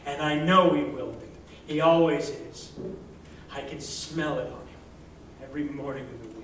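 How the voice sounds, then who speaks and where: A man's voice: on the high side, fairly even intonation, normal speed, noisy background. An American man giving a dramatic first-person reading of a hardboiled short story in a colloquial, streetwise manner. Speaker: story reader. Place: indoor arts venue, live performance. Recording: echoey live venue, distant mic, music behind. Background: live guitar, harmonica and percussion music.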